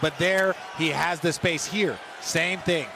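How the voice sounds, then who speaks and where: A man with a fairly high voice breaks down basketball plays in a conversational way. He is a color analyst, heard through very loud game noise and bouncing balls. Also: takes it slowly, gravelly. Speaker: color analyst, former player. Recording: very noisy game broadcast relay. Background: basketball arena ambience, bouncing ball.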